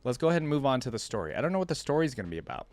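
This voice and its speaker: A man hosting a reaction video, switching between reading aloud and casual, rambling commentary as he thinks out loud. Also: medium pitch, very animated, racing.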